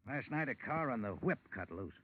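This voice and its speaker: An actor playing a gruff, blunt carnival manager and strong-arm man in a slangy 1940s radio drama style. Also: mid-range voice, big pitch swings, fairly quick, slight background noise, natural voice. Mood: defensive. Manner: blunt, slangy tough-guy talk. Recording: tinny, band-limited 1940s radio recording.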